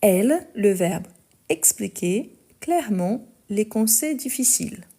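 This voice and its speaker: Slow gravelly woman teaching French. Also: mid-range voice, expressive, warm tone. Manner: slow, careful, repeats and spells out verb endings. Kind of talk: live online conjugation lesson.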